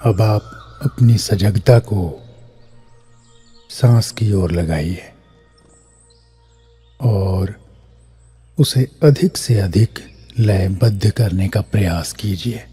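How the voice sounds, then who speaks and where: A man guiding a scripted meditation in a measured way, pausing between short phrases. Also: lowish voice, mellow, breathy edge, soft background music. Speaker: meditation guide. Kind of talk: scripted guided meditation, instructional narration.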